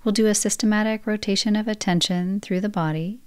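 A woman guiding a yoga nidra relaxation practice, speaking in slow, soft, soothing phrases. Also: mid-range voice, fairly even intonation, clean tone, no room sound. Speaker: meditation guide.

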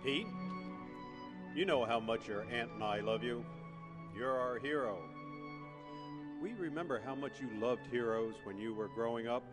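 A man playing a loving, wise uncle in an amateur radio play, giving gentle, earnest counsel. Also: medium pitch, moderate pace, pauses often, natural voice. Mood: earnest, proud. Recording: prominent music over the voice. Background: music.

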